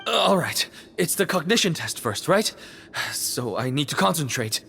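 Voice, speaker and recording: A man's voice: a little high, sing-song, normal speed, creaky, sharp tone, slight background noise, exaggerated voice. A man voicing a hesitant, unsure prison inmate in a video game. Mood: nervous.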